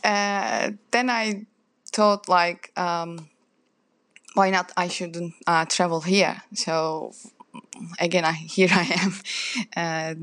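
A woman's voice: on the low side, steady tone, slow, long pauses, fairly bright tone, smooth, no echo. A young woman chef with a Turkish accent, speaking English as a second language in a casual interview, hesitant with many fillers and light laughs. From Turkey.

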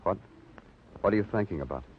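An actor playing a weary, probing police detective who narrates his own cases in a measured, terse 1950s radio crime drama style. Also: sits low, lively intonation, slight background noise. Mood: pressing, stern. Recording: muffled old radio broadcast with music.

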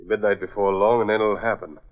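A man's voice: lowish voice, steady tone, quick pace, natural voice. A man playing a cold, gloating Nazi saboteur henchman in a 1940s radio drama. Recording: tinny vintage radio recording.

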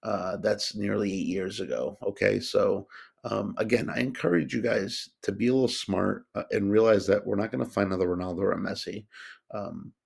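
A man's voice: fairly low pitch, normal speed, creaky, husky. A man hosting a solo podcast, talking casually and rambling with lots of fillers and restarts. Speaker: podcast host.

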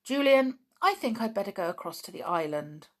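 A woman's voice: mid-range voice, big pitch swings, a little fast, voice pushed up. A woman voicing a worried, stubborn young tomboy girl in a storybook, speaking in an emphatic, pleading way. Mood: worried, obstinate.

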